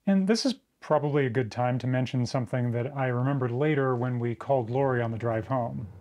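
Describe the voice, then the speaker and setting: A man in his forties, the host, narrating a casual walkthrough of an old camper trailer in a thoughtful, measured way with pauses and fillers. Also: medium pitch, darkish tone. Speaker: vlogger touring a camper. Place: small camper trailer and outdoors, plus a dim room for to-camera parts.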